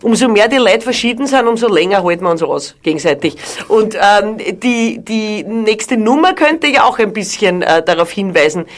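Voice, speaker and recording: A woman's voice: mid-range voice, lively intonation, normal speed, few pauses. A woman hosting a casual radio interview in a studio, chatty and joking with her guests. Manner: chatty, joking, laughs often. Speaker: radio host.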